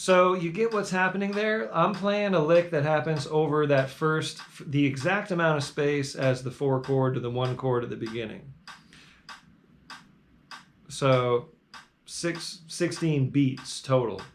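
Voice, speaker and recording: Relaxed male guitar teacher counting in. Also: fairly high pitch, unhurried, a little hiss or hum. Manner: relaxed, explains step by step, counts in beats. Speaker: guitar teacher leading a jam. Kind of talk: live online music lesson. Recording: loud bluegrass guitar playing along.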